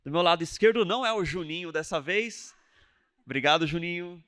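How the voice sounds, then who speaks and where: A man's voice: a little high, lively intonation, brisk, a bit bright. A man hosting a church talk show, introducing guests with effusive enthusiasm. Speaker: host.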